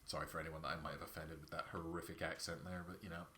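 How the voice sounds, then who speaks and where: A man's voice: very deep voice, little pitch movement, rapid-fire, pushed and bright. A man with a Perthshire Scottish accent and a scratchy throat from a cold, chatting casually and rambling while he streams a game. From Scotland. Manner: rambling, chatty, self-deprecating.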